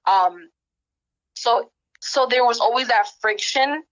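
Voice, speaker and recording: A woman's voice: on the high side, slow, fairly bright tone, highs cut off. A woman from a Dominican background, guest on a podcast, talking casually with lots of fillers and restarts. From Dominican background, raised in Harlem. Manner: chatty, frequent fillers and rephrasing. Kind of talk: casual conversation.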